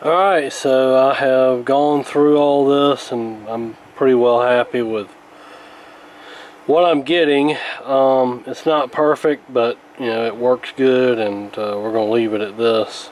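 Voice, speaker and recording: A man's voice: medium pitch, fairly even intonation, takes it slowly, darkish tone, poor audio. Man thinking aloud over background noise. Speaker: radio repair hobbyist, host. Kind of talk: casual hands-on repair commentary.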